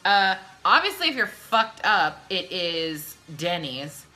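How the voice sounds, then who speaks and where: Tipsy woman doing chatty q&a vlog, bright punchy voice, swears a lot, faint music behind. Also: fairly low pitch, sing-song, slow. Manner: brash, blunt, swears freely. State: drunk. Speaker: video host answering viewer questions. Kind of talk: casual chatty Q&A to camera.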